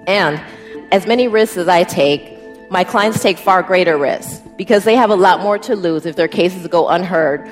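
A woman with an American accent giving an earnest first-person account as a guest speaker. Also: on the low side. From United States, Midwest.

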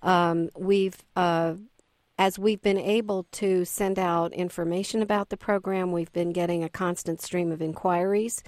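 A woman academic speaks in a measured, careful way, pausing often on uhs and ums. Her voice sits on the low side. It is recorded close and dry. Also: steady tone, a little mellow, no room sound. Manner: measured, with frequent hesitations. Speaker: university program director, guest. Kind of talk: radio interview, academic explanation.

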